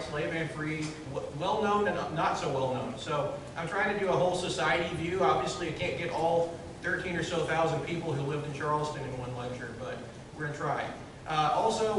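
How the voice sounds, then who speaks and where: A man giving a loud, chatty public history lecture to an audience, full of fillers and restarts. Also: on the high side. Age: 30s. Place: large echoey room, in front of an audience. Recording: very echoey room, distant, audible noise.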